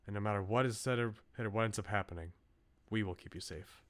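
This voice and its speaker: A man voicing an earnest, bookish researcher from a visual novel in a measured, formal, serious way. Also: on the low side, fairly bright tone, close to an everyday voice. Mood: grave, resolute.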